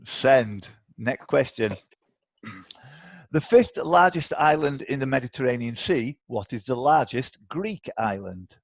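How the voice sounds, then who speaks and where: A British man hosts a live online quiz in a chatty, bantering way. His voice sits at a medium pitch. The sound is dry, with little room around it. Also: expressive, measured pace, no room sound. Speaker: quiz host. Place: indoors, on a video call.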